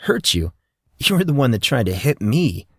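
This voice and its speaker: A man's voice: medium pitch, very animated, a little slow, breathy edge, no echo, exaggerated voice. A man voicing a scarred teenage boy who speaks in a dry, sarcastic way.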